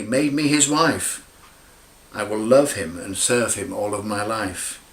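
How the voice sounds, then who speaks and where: A man's voice: medium pitch, a bit bright. Man solemnly reciting verse, echoey room. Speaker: poet reading own poem. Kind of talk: recited dramatic monologue in rhyming verse. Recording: roomy, echoing amateur recording.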